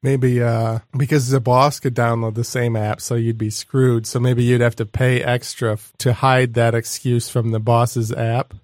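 A man, hosting a comedy podcast. He rambles in a deadpan way, stretching ideas to absurd extremes. His voice is recorded close and dry, with no room sound. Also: measured pace, few pauses, no room sound. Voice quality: low voice. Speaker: podcast host. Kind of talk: casual comedy podcast chat.

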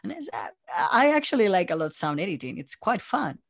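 A man chatting casually as an interview guest, telling things in an animated way by quoting himself and others. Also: high-pitched, a little fast, creaky, breathy edge. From Spain. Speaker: interview guest, audio drama creator. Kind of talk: casual chat.

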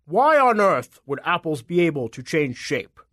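A man's voice: fairly high pitch, big pitch swings, fairly bright tone, dry recording, studio quality. Man voicing a wet, grainy giant apple monster. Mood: smug, scornful. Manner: slow, blustering, scornful. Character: huge grumpy male giant apple monster.

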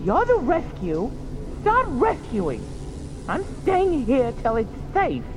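A woman voicing a small furry alien creature in an audio game, friendly, eager and skittish, in a loud stage whisper. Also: high voice, huge pitch swings, takes it slowly, background noise, full character voice. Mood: indignant.

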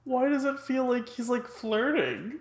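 A woman's voice: fairly high pitch, expressive, measured pace. A woman in casual chat who slips in dry, joking remarks.

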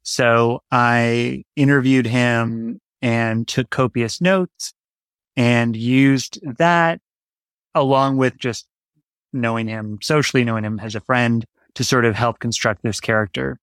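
A man's voice: mid-range voice, steady tone, slow, fairly bright tone, clean tone, no echo. Man reflecting in conversation, dry sound. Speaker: interview guest, audio drama writer. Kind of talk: conversational interview answer.

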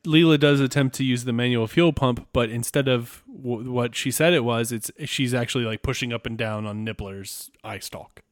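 Regular guy podcast cohost, medium pitch, chatty recap style, dry close audio. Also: normal speed, hardly any pauses, dry recording. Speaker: podcast co-host. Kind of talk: casual episode recap chat.

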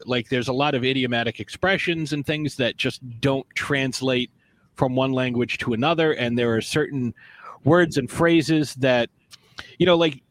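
A man's voice: medium pitch, a bit bright, rough recording. Guy hosting a book podcast, rambly, lots of like and you know. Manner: rambling, lots of fillers and restarts. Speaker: podcast host. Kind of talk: casual interview chat.

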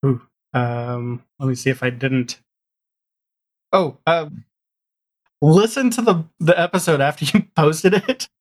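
A man's voice: fairly high pitch, slow pace, pauses often. A man chatting casually as a podcast guest, with a rambling, self-deprecating, chuckly manner. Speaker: podcast guest, audio drama showrunner. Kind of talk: casual interview chat.

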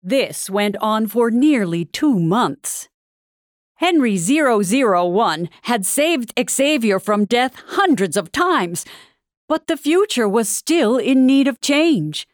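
A woman narrating a futuristic fairy tale in an audio drama, with a measured storybook delivery. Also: a little high, sing-song, no room sound, clean studio sound. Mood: storytelling.

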